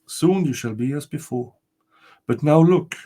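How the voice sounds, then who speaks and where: A man voicing a calm, solemn female spirit from a storybook folktale, speaking in a measured way. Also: fairly high pitch, pitched above the performer's own voice. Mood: reassuring.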